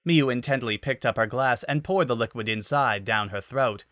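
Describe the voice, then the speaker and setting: A man's voice: mid-range voice, normal speed, fairly bright tone, no echo, studio quality. A man narrating a story as a scripted dramatic reading. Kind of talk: dramatic reading of fan fiction.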